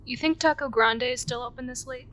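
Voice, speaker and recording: A woman's voice: high voice, brisk, a bit bright. A woman playing an upbeat young investigator in an audio drama, casual and teasing in manner.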